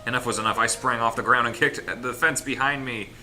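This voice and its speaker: A man's voice: mid-range voice, steady tone, fast talker, pushed and bright. A man hosting a group let's play, reading a visual novel aloud theatrically and breaking off for casual joking asides. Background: game music.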